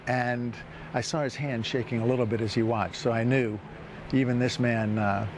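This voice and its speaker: A man's voice: mid-range voice, fairly even intonation, normal speed, noisy background. A man speaking as an interviewee, recalling memories reflectively and sometimes searching for his words.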